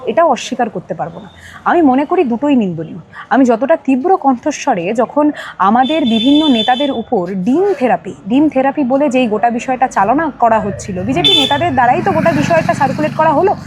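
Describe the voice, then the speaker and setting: A woman's voice: sits high, expressive, fairly quick. A woman with a Bengali accent giving emphatic political comment as an interview guest, in long, repetitive sentences.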